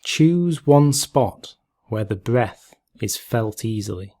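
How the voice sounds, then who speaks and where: A man leading a guided meditation in a calm, gentle, measured way, leaving long pauses between phrases. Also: lowish voice.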